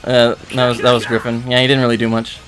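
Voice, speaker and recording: A man hosting a stream in casual chat, joking and somewhat halting in how he talks. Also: mid-range voice, monotone, poor audio. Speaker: stream host and director. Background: faint music.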